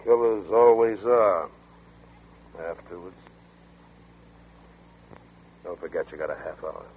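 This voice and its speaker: A man's voice: animated, takes it slowly, heavily acted. A cold, controlling man. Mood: controlled. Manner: low, clipped and commanding. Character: cool, controlling man named Cliff. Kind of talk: 1940s radio acting. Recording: tinny old radio broadcast with faint hiss.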